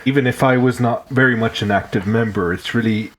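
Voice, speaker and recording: A man's voice: mid-range voice, brisk. A man with a Finnish accent who grew up in Hong Kong, a guest on a podcast chatting casually and joking, often restarting his words. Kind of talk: casual chat.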